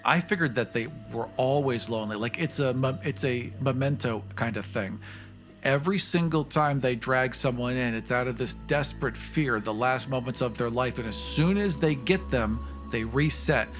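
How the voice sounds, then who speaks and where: A man's voice: mid-range voice, normal speed, fairly bright tone, soft background music. A man co-hosting a casual panel discussion, talking emphatically and repeating phrases for effect.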